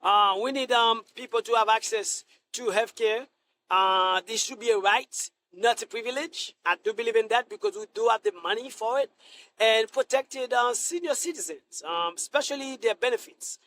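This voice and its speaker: A middle-aged man from Haiti, a Senate candidate answering interview questions in a halting way, full of restarts and fillers. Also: extremely high pitch, bright, forceful tone, a little hiss or hum.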